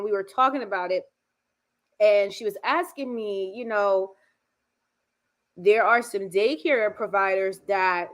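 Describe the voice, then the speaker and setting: A woman hosting a conversational interview, her voice a little raspy and partly lost from shouting, speaking informally and correcting herself. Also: mid-range voice. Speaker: host, school educator and coach.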